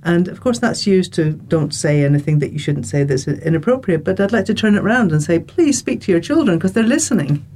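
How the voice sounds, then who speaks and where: A woman from Ireland, calmly explaining her views as a panel guest. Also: on the low side, brisk, few pauses, a little hiss or hum. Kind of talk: conversational discussion.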